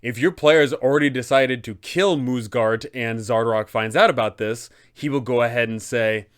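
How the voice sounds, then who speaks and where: A man's voice: mid-range voice, normal speed, clear, resonant tone. A man in his twenties presenting a roleplaying guide for game masters, explanatory and emphatic. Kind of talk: tabletop roleplaying guide narration. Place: small furnished room indoors.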